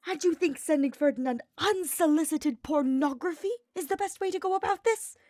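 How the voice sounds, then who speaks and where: A woman's voice: sits high, moderate pace, darkish tone, no echo, studio quality, pitched above the performer's own voice, close to an everyday voice. A woman playing a gaunt, brooding male mage who speaks in a formal, biting, dramatic way. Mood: shrill outrage.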